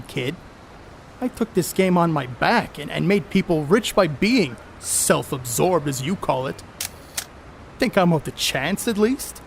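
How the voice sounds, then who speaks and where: A man's voice: high voice, slight background noise. A man playing a boastful, crude star ballplayer in a 1930s stage play, cocky and needling in folksy slang. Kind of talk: amateur stage play acting, 1930s period dialogue.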